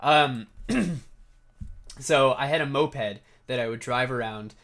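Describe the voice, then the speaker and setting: A man's voice: medium pitch, slow pace, a bit bright. A young man with a slightly scratchy voice, vlogging in a casual, storytelling way as he answers viewer questions. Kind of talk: casual chat to camera with storytelling.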